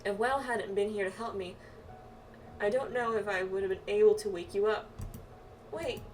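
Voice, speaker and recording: A woman voicing a worried but steady companion from a fantasy game, earnest and gently admonishing. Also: medium pitch, normal speed, natural voice. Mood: concerned. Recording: distant mic, music behind.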